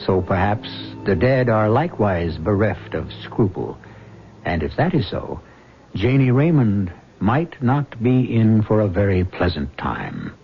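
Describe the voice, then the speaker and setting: Wry, ominous radio mystery host, animated. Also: male voice, lowish voice, unhurried, vocal fry, full character voice. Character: sardonic, macabre male host of a mystery show. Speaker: host narrator. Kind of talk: theatrical scripted radio drama narration. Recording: dull, lo-fi 1970s radio recording. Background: music.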